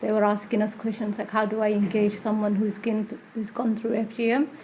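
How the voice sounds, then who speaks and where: A woman's voice: mid-range voice, level tone, a little fast, warm tone, slight background noise, low-quality recording. A woman who works as a community health practitioner, talking conversationally in an interview.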